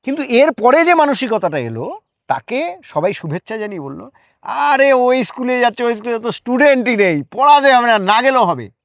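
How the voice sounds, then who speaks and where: A man's voice: very high-pitched, sing-song, moderate pace, no room sound, studio quality. A man speaking earnestly as an interview guest, explaining his opinions emphatically with rhetorical questions.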